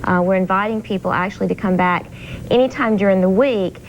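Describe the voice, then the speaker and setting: American woman interview guest, dull noisy tape. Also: a little fast. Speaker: blood bank representative, interview guest. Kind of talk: live news interview. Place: TV studio. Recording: dull noisy VHS broadcast, low rumble.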